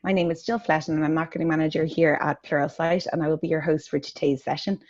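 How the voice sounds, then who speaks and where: A woman hosting a business webinar on a video call, giving brisk, formal introductions. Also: deep voice, little pitch movement, darkish tone. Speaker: webinar host. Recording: muffled lo-fi call audio.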